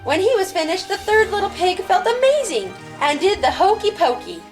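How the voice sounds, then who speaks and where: Female children's storyteller and host, high-voiced with big swings in pitch, over music. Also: sing-song, moderate pace. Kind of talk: animated storybook narration for children.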